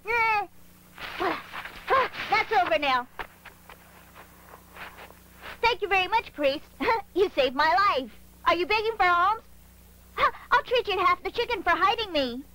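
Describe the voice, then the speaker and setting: A woman voicing a cheeky young street boy thief in a dubbed animated film, with a childlike voice and a brash, sly manner. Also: very high voice, normal speed, slight background noise, exaggerated voice, rough recording. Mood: relieved, cheeky. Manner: brash, sly, self-justifying.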